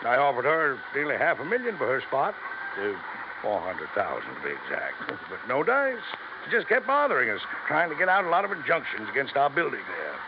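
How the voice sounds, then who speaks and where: A man's voice: mid-range voice, huge pitch swings, a little fast. An actor with an American accent playing a self-assured, dismissive male construction boss in a 1950s radio drama. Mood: scornful, amused. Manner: dismissive, chuckling. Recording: noisy, muffled vintage radio recording.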